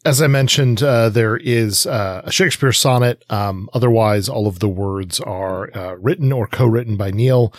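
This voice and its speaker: A man co-hosting a podcast in casual discussion, speaking hesitantly with many pauses and fillers. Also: lowish voice, no echo. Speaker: podcast co-host.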